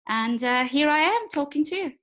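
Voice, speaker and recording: Woman, fairly high voice. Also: expressive, a bit bright. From Turkey. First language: Turkish. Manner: thoughtful, frequent filler pauses. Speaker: guest, executive coach. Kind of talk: podcast interview.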